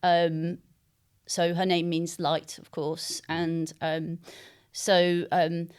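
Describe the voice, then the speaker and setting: Softly even-toned woman, low and hesitant. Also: very slow, clear, resonant tone. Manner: hesitant, thoughtful, searching for words. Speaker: interviewed novelist. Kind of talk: conversational literary interview.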